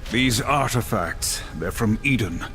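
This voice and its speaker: A man's voice: fairly low pitch, animated, a little slow, a bit bright, soft background music. A man playing a stern, dutiful, brooding warrior in a game cutscene, grave and formal. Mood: pensive. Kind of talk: video game cutscene acting.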